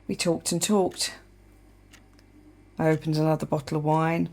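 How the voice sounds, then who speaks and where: An actress playing a wry, sharp, defiant woman in a naturalistic radio play. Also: low voice, level tone, a little slow. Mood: anguished confession. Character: adult woman, wry, sharp, defiant, confessing to her partner. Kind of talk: naturalistic radio play acting, dialogue and monologue.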